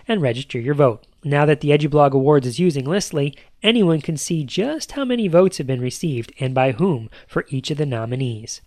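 A man's voice: on the high side, dry recording. A man in his thirties hosting a podcast, reading scripted announcements in an upbeat, promotional way. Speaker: podcast host.

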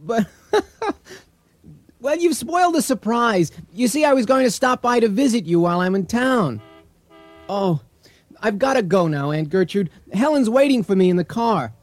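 Flustered, animated young husband. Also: male voice, sits high. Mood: apologetic, rushed. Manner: hurried, stammering. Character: flustered young husband, a businessman running late. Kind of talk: radio drama acting.

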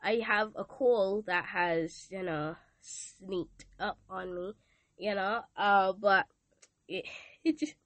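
A woman's voice: medium pitch, steady tone, slow pace, fairly bright tone, no echo. A teenage girl with a Barbadian accent, sounding nasal from a cold, hosting a casual devotional podcast in a chatty, playful way. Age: teens. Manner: chatty, playful, asks listeners questions. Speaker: podcast host. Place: hot room with a fan.

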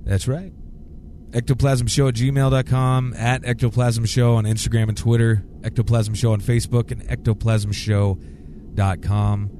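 A man co-hosting a podcast who gets excitable, swears a lot and laughs often. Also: mid-range voice, normal speed, slight background noise. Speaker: podcast co-host. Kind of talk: casual podcast chat.

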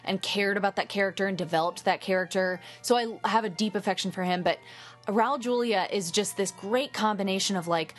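A woman's voice: medium pitch, fairly quick, faint music behind. A woman co-hosting a podcast who gushes about actors, agrees often with the other host, and reacts with animation. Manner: gushing, animated, lots of agreeing fillers. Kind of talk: casual film discussion.

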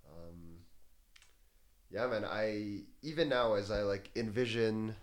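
A man in a casual chat, thinking out loud in a relaxed, drawn-out way. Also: lowish voice. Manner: relaxed, musing, drawn-out phrasing.

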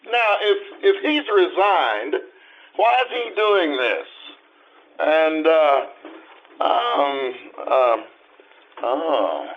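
A man's voice: fairly high pitch, lively intonation, drawn-out words, long pauses, lo-fi sound, full character voice. A man playing a sardonic, indignant magazine writer, blustery and sarcastic, in an old-time radio style.

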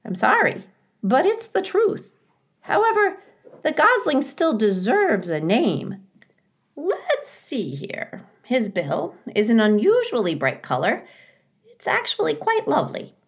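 A woman's voice: high voice, huge pitch swings, takes it slowly, voice pushed up, exaggerated voice. A woman voicing a blunt, fussy, motherly female goose in a children's storybook, with squawking, emphatic, opinionated delivery. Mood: frank, fussing. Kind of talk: children's storybook character reading.

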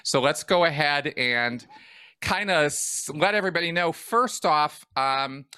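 A man hosting a podcast interview, opening the show quickly and upbeat, then asking questions in a casual way with some filler words. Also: fairly high pitch, bright, forceful tone, smooth, dry recording. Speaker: podcast host.

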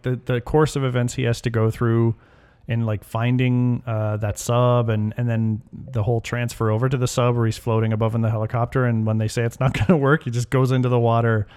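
A man chatting casually about a film with friends, animated, laughing often and quoting scenes. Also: medium pitch, a little fast, clean tone. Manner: animated, laughs often, quotes lines in put-on voices. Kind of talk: casual movie discussion.

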